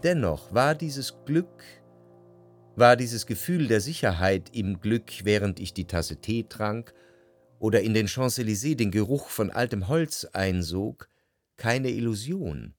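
An actor playing an introspective, doubting gentleman who narrates his love in a literary radio drama. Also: lowish voice, expressive, unhurried. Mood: reflective, doubting. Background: music.

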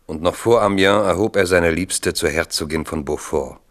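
A man narrating a 1970s radio drama in a measured, formal storytelling manner. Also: low voice.

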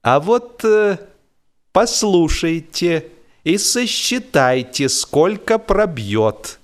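A man puts on a stern, suspicious policeman for a comic audiobook. He speaks deliberately and with condescension, his pitch lifted and moving in lively swings. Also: heavily acted. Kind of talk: humorous audiobook character voice.

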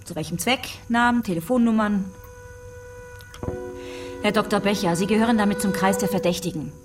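An actress playing a dry, hard-nosed police investigator in a radio drama, blunt and terse. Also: female voice, mid-range voice, brisk, distant mic, music in the background, lightly acted. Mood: confronting. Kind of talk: German radio drama acting.

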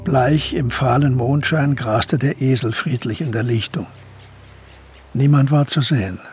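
An actor as the narrator of a historical crime radio drama, reading scripted literary third-person prose. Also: male voice, mid-range voice, unhurried, breathy edge, faint music behind. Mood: narrating.

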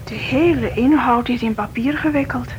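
A woman's voice: on the high side, moderate pace, close to an everyday voice. A woman playing a worried, dutiful air stewardess in a radio play. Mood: anxious, urgent. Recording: dull radio recording, loud aircraft cabin noise.

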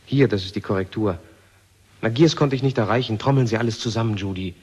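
An actor playing an embittered, defiant physicist, terse, sardonic and commanding, in a science fiction radio drama. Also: male voice, on the low side, fast, darkish tone, husky. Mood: urgent. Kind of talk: 1970 German radio drama acting.

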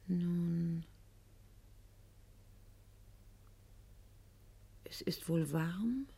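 A proud, calculating noblewoman of the eighteenth century, speaks in a theatrical radio drama monologue. She takes her time over every formal, measured phrase, and her pitch rises and falls widely as she addresses her husband with haughty eloquence. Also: vocal fry, mellow, husky, heavily acted. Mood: subdued, brittle.

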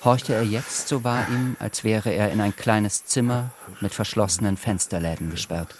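A man's voice: on the low side, moderate pace, noisy background. A man narrating a literary radio drama in a scripted storytelling style. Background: faint music.

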